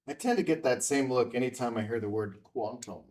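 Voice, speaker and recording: A man's voice: medium pitch, a little fast, darkish tone, recorded from a distance, lightly acted. A man playing a guarded, thoughtful veteran in a naturalistic table read. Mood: guarded, candid.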